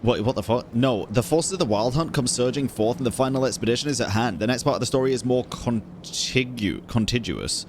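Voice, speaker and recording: A man's voice: medium pitch, fairly quick, hardly any pauses, slight background noise. A young man casually reacting to a game, joking, swearing and using slang. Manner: loud, profane, joking, slangy. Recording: game audio and music in background.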